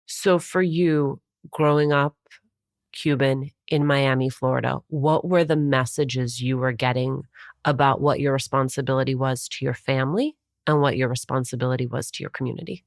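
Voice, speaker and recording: Low-voiced woman hosting a podcast, dry sound. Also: steady tone, moderate pace, dry recording. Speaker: podcast host, interviewer. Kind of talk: scripted podcast narration and interviewing.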